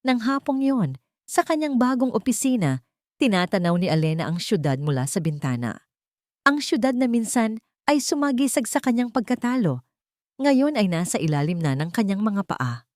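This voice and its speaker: Woman narrator with lively, dramatic Filipino delivery. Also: mid-range voice, normal speed, dry recording, studio quality. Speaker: story narrator. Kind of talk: scripted dramatic story narration.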